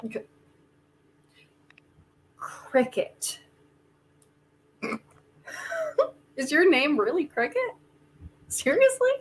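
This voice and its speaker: Slow, deliberate woman acting as wry inventor. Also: sits high, very animated, exaggerated voice. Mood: amused. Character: Dakota, wry determined woman inventor reshaping the multiverse. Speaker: actor in a community theater reading. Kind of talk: virtual staged play reading.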